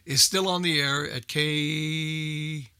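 Older American male for casual talk radio, emphatic and repetitive, forceful bright tone, close dry sound. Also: on the high side, fairly even intonation, takes it slowly, clear, resonant tone, no room sound. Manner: emphatic, repeats himself for emphasis. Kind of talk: casual group chat.

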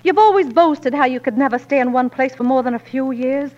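A long-suffering but iron-willed frontier wife played by a woman in a 1940s radio drama. Her voice sits high, and she speaks with emotional, reproachful emphasis. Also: fairly quick, a little mellow, dull audio, close to an everyday voice. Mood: angry, defiant. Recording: old 1940s radio recording.

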